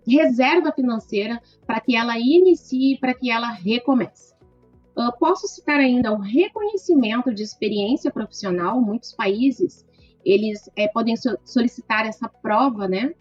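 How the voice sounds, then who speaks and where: A middle-aged woman with a gaúcho accent answers an interview question in a measured, explanatory way, tagging her sentences with "né". Her voice sits a little high and the sound is dry and close. The recording is slightly dull, with faint music in the background. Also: darkish tone, no room sound. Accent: gaúcho Brazilian Portuguese. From southern Brazil (Rio Grande do Sul). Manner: measured, lists points with frequent "né". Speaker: lawyer guest.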